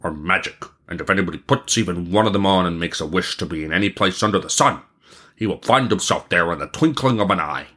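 Man voicing a low, boastful dwarf leader. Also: fast talker, pushed and bright. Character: wicked, cunning dwarf leader, small man.

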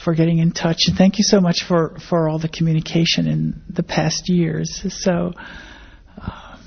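An older American woman with a husky, creaky voice gives conversational interview answers. The pitch moves little and the phrasing is thoughtful and hesitant. The recording is dull and lo-fi. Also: level tone, moderate pace. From grew up in Indonesia and the Philippines, American-born. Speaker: interviewee, academic biologist.

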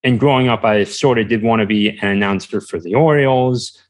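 A young man answering as an interview guest, talking casually in a hesitant, halting way. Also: fairly low pitch.

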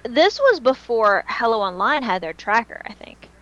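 A woman's voice: a little high, big pitch swings, normal speed, a bit bright, lo-fi sound. A woman chatting casually as co-host of a fan podcast, talking in a reminiscing way.

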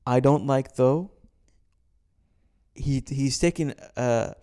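Opinionated man with a mellow, smooth voice. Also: mid-range voice, takes it slowly. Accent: American-sounding. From Kuwait. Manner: opinionated, animated when ranting. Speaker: podcast co-host. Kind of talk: casual sports chat.

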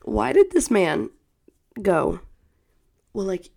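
A woman's voice: mid-range voice, very animated, takes it slowly, creaky, a little mellow. A young woman co-hosting a casual chat podcast, stuffy from a cold, telling stories with a dramatic streak. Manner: dramatic, storytelling. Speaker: podcast co-host.

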